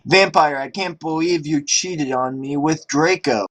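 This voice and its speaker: A man's voice: a little high, measured pace, fairly bright tone. A man voicing a melodramatic, foul-mouthed teenage goth vampire girl in a comedic story reading. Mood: indignant.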